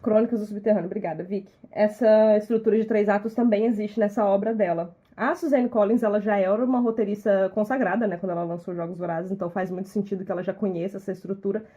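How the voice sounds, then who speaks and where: Mellow, husky Brazilian woman host. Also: medium pitch, fairly even intonation, fast, few pauses, muffled recording. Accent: Brazilian Portuguese. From Minas Gerais, Brazil. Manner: thoughtful, repeats small words while explaining. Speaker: podcast host. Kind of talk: casual podcast chat.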